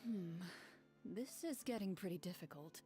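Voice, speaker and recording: A woman voicing a composed, serious young game master in a video game, speaking in a measured, courteous and formal way. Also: lowish voice, animated, warm tone, close to an everyday voice. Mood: pensive. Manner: measured, formal, matter-of-fact. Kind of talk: animated video game voice acting. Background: game music.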